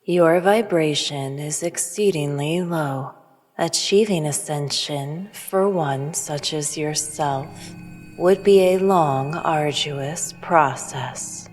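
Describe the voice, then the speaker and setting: An actress playing a serene, preachy female alien spiritual teacher in an audio drama, speaking in a solemn, measured way. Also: deep voice, darkish tone. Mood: stern. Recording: long reverb, music underneath.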